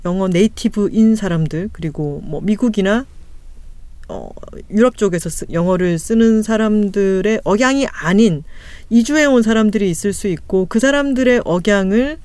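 A woman podcast host in casual chat, explaining her views carefully and thoughtfully, pausing often with fillers. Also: medium pitch, darkish tone, no room sound.

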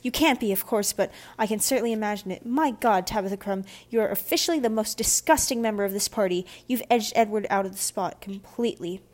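A woman's voice: mid-range voice, fast, few pauses, a little mellow, close to an everyday voice. A woman voicing a snobbish, spiteful eleven-year-old girl in a children's audiobook, with a haughty, sneering manner. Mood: mocking. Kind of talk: children's audiobook character dialogue.

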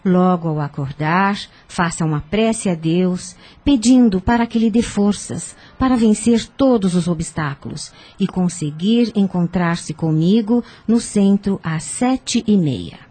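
A woman's voice: on the low side, measured pace, few pauses, dark tone. An actress with a Brazilian accent playing a kindly, devout older woman in a radio soap, earnest and instructive. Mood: solemn counsel. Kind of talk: radio soap opera acting.

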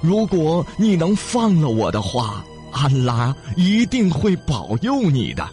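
A man's voice: fairly high pitch, wildly swooping pitch, takes it slowly, darkish tone, faint music behind, voice pushed up, exaggerated voice. A man voicing a frightened old fisherman in a storybook tale, begging a demon in a raised, flustered voice. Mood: pleading. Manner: flustered, pleading.

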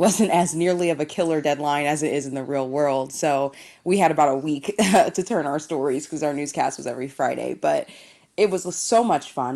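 A young woman from Indiana with a low voice and a slight rasp answers interview questions. She tells her story with an easy, storytelling flow, often breaking into laughs. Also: darkish tone. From Indiana, USA. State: slightly raspy voice. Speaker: TV news anchor, guest.

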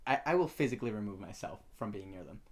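A teenage man chatting casually as a vlogger, excitable and rambling, swearing for emphasis. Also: on the low side, fast talker. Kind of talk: casual chat.

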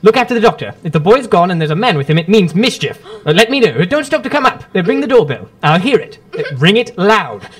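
An actor playing a shrewd, imperturbable gentleman detective in a radio drama, speaking drily and urbanely with small hesitant murmurs. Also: sits high, very animated, fast, few pauses. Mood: alert, urgent. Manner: urbane, dry, hesitant interjections.

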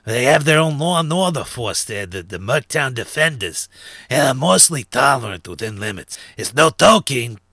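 A man's voice: medium pitch, sing-song, moderate pace, pushed and bright, no room sound, studio quality, full character voice. A man voicing a short, thin, sly underworld fence and information broker in a narrated story, with chummy, streetwise slang. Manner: streetwise gangster slang, chummy and self-assured. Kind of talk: acted character dialogue in a narrated story.

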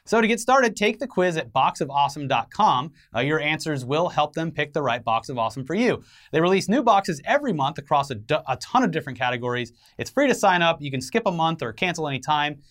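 Higher-voiced man co-hosting casual comedy news. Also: sing-song, fast talker, hardly any pauses, a bit bright. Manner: sarcastic, irreverent, sweary. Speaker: co-host of an entertainment news show.

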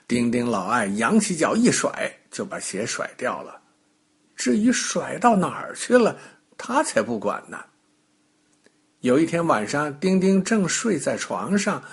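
A man telling a bedtime story to small children in an expressive, unhurried manner with gentle interjections. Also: fairly high pitch, breathy edge.

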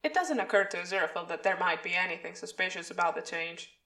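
A woman's voice: on the low side, animated, quick pace, background noise. A woman reading scripted fiction as a narrator, recorded in a roomy space away from the microphone. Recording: roomy echo, distant from mic.

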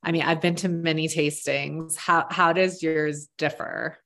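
A woman interviewer with a notably low voice asks friendly, curious questions. Her delivery is casual and conversational. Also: fairly even intonation, measured pace, gravelly, fairly bright tone. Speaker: interviewer, host.

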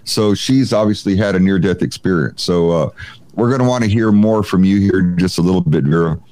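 A man's voice: sits low, brisk, mellow, no echo. A man hosting a live stream, reading viewer comments aloud in a jokey, chatty way with lots of fillers. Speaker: host.

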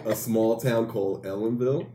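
A man in his early thirties, a guest in a casual group chat, speaking in a measured, articulate way with dry humor. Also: mid-range voice, mellow, a little hiss or hum. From grew up in Indianapolis, Indiana. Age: 30s.

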